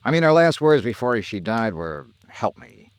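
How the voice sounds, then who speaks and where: An actor playing a weary hardboiled American investigator with a soft spot, in 1950s radio detective drama style. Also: male voice, medium pitch, very animated, normal speed, clean tone, dry recording, lightly acted. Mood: somber, reflective. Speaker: lead actor and first-person narrator.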